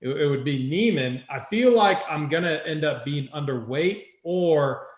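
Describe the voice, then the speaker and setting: A man's voice: on the high side, animated, measured pace. A man hosting a sports betting show, talking through picks in an informal, conversational way. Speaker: host of a fantasy golf picks show. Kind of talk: casual sports betting talk. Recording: roomy echo.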